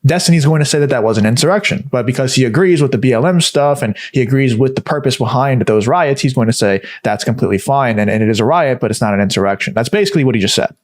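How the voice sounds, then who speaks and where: A man giving political commentary to camera, talking in quick, repetitive runs with restarts. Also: medium pitch, lively intonation. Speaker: commentary video host.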